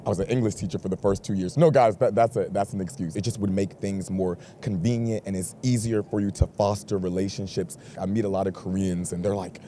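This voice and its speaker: A man's voice: low voice, fairly even intonation, brisk, few pauses, dark tone, a little hiss or hum. An American man from Atlanta, a former English teacher, talking candidly and laughing at himself. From Atlanta, USA.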